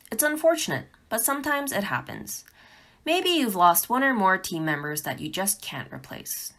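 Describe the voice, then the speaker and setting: A woman's voice: medium pitch, a bit bright. A woman presenting a pre-recorded instructional talk as a game developer, speaking in an emphatic, encouraging way.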